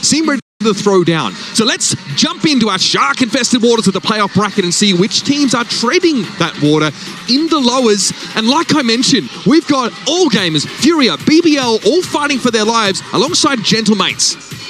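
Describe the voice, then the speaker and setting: A man's voice: very high-pitched, very animated, normal speed, a bit bright, slight background noise. A man hosting a live esports broadcast desk, upbeat and jokey. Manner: upbeat, jokey, laughs easily. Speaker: esports host.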